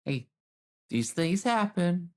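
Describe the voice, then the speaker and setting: A woman improvising casually as a tabletop roleplaying player, insistent and repetitive, with halting phrases. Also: on the low side, sing-song. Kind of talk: casual in-character improvised chat.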